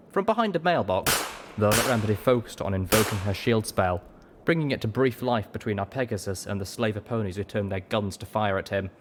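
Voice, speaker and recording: A man reading a scripted adventure story as a dramatic narrator. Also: lowish voice.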